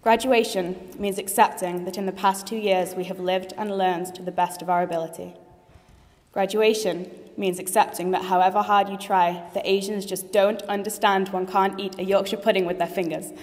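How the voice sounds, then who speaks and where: A woman's voice: mid-range voice, fairly even intonation, normal speed. Earnest teenage girl giving a speech in a hall. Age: late teens. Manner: earnest and heartfelt, with light jokes. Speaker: graduating student speaker. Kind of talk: formal graduation speech. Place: large assembly hall. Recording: long reverb of a large hall.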